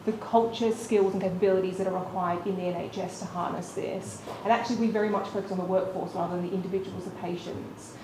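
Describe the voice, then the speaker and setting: A woman on a panel, answering a question at a brisk, articulate pace. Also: medium pitch, steady tone. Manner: brisk, articulate, policy-focused. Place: conference room with audience. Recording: echoey room with background noise.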